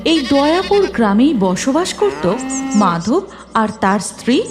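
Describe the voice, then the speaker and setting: A woman's voice: high voice, big pitch swings, measured pace. A woman narrating a scripted cartoon story. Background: music.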